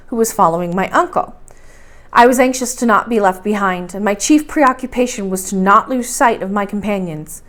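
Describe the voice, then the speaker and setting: Animated woman reading a story aloud. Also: mid-range voice. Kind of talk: expressive scripted audiobook narration.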